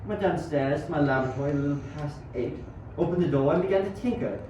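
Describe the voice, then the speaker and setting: A man's voice: on the high side, fast talker, mellow, close to an everyday voice. A young man acting an earnest, obsessive 19th-century scientist in an amateur radio play, with dramatic, formal delivery. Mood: brooding. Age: young adult. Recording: very echoey, distant, dull, faint noise.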